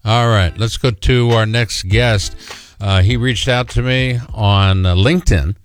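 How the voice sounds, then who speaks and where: A man's voice: on the low side, unhurried, soft background music. A man hosting a casual interview show, jokey and loose with fillers. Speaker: podcast host.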